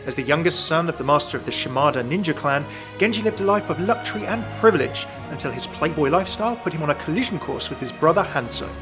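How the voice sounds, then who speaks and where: A man's voice: on the high side, lively intonation, fast, few pauses, over music. A young man hosting and narrating a scripted fan video in a chatty, jokey way.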